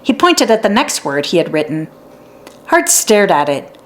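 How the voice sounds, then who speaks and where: A woman narrating a children's story in an expressive read-aloud style for young listeners. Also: mid-range voice, normal speed. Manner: acts out dialogue and sounds out letters.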